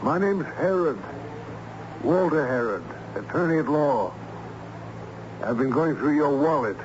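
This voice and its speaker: A man playing a hearty, menacingly polite crooked lawyer, questioning formally and briskly in an old-time radio drama. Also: a little high, animated. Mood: pressing. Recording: muffled, noisy old radio recording.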